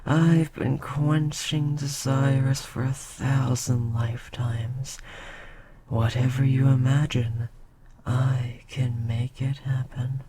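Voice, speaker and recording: A woman's voice: extremely low pitch, noisy background. A woman voicing a whispery ancient succubus queen. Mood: seductive. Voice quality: breathy whisper. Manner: soft, slow, often whispering. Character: ancient queen succubus, tender, seductive, motherly. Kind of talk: ASMR roleplay.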